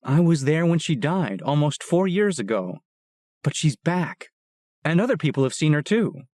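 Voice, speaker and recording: A man's voice: fairly high pitch, very animated, normal speed, darkish tone, dry recording, clean studio sound, voice pushed up. A man voicing a troubled teenage girl bike messenger who talks in an earnest, pleading way.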